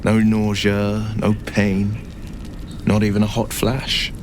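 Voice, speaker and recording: An actor playing a gallant, eloquent monster hunter in a fantasy game, with a florid, measured storytelling manner. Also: male voice, on the low side, monotone, a little hiss or hum. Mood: thoughtful, assured. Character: gallant, eloquent male monster-hunting adventurer hero.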